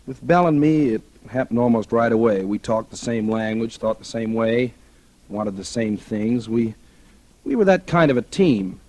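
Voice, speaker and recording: An actor playing a cocky, restless newspaper reporter and would-be crime novelist in a 1940s radio drama, talking in casual slang. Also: male voice, medium pitch, lively intonation, normal speed, darkish tone, lightly acted, rough recording. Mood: reflective, narrating. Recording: old 1940s radio recording.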